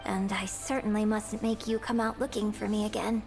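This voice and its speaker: A woman's voice: medium pitch, level tone, moderate pace, creaky, darkish tone, lightly acted. A woman voicing a poised, earnest young female opera star in a video game, speaking politely and formally. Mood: conceding, dutiful. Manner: polite, composed, formal phrasing. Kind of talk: video game character dialogue. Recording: game music behind the voice.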